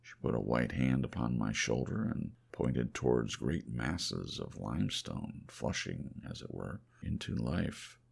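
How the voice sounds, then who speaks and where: A man reading classic fiction as an ASMR audiobook, with a gravelly voice and measured, dramatic storytelling. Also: a bass voice. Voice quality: gravelly, creaky. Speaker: audiobook reader.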